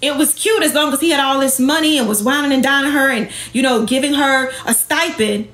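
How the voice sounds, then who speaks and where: High-pitched, emphatic sassy woman. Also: fairly quick, fairly bright tone, echoey room, faint music behind. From Jamaican heritage. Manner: emphatic, sassy, confrontational, colloquial, with rhetorical questions. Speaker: online host and women's empowerment speaker. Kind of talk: impassioned livestream talk to followers.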